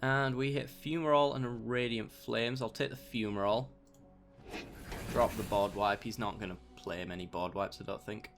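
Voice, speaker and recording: Young gamer, a bit croaky with a sore throat, relaxed let's-play commentator who talks through each move. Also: male voice, mid-range voice, moderate pace, soft background music. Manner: thinks aloud, mild self-talk, bursts of mock outrage. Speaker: gameplay commentator.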